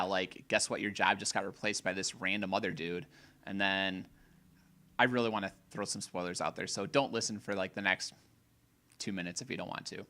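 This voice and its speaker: A man co-hosting a casual gaming podcast, opinionated and rambling, a little hoarse, often restarting his sentences. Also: lowish voice, sharp tone. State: slightly hoarse. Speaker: podcast co-host.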